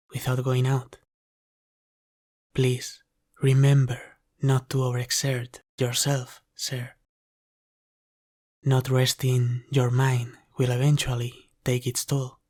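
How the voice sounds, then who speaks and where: A man playing a polite, caring dragon butler in an ASMR roleplay, speaking formally, gently and deferentially. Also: medium pitch, completely flat delivery, takes it slowly. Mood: courteous, attentive. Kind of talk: ASMR roleplay, soft scripted character acting.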